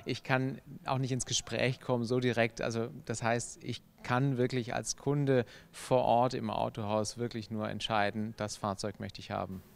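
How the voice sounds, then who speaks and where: A man hosting an interview, asking brisk, leading questions into a handheld mic in a large showroom. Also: medium pitch, level tone, gravelly. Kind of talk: on-camera interview. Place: large car showroom indoors. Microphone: handheld microphone.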